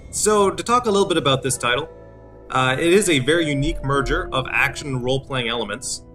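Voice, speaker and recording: A man in his thirties hosting a game walkthrough, explaining things in a halting way. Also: medium pitch, bright, forceful tone. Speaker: video series host. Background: game music.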